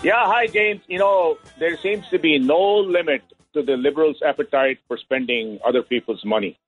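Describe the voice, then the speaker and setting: A man's voice: fairly high pitch, moderate pace, fairly bright tone, breathy edge, dry recording, faint music behind. A man calling into a talk radio show, joking sarcastically and then turning earnest. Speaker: caller. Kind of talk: phone-in comment. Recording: tinny phone line.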